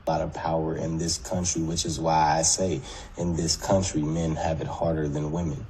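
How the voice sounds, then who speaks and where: A man in a commentary clip, dismissing someone flatly, with contempt and profanity. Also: very deep voice, normal speed, poor audio.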